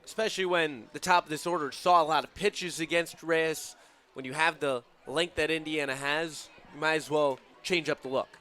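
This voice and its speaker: A man's voice: on the high side, fairly even intonation, moderate pace, bright, forceful tone. A man giving analytical sports commentary as a color commentator.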